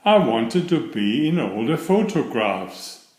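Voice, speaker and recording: A man's voice: medium pitch, sing-song, slow, a little mellow, echoey room. Man playing an eager, exclaiming young steam engine. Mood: apologetic. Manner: exclamatory, enthusiastic. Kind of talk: children's storybook read-aloud.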